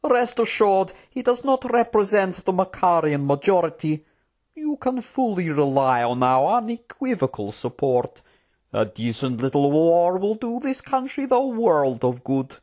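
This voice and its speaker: Narrator putting on a smooth-tongued scheming fantasy courtier, high-pitched. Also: sing-song, unhurried, a little mellow. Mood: smoothly assured. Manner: unctuous, formal, wordy.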